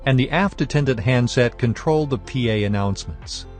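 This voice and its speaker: Man, mid-pitched voice, scripted technical narration, formal and measured, instructor style, music in the background.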